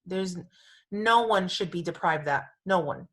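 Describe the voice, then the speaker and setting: A woman's voice: lowish voice, unhurried, fairly bright tone. A woman co-hosting a casual podcast, chatting in an emphatic way and often repeating her phrases. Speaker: podcast co-host.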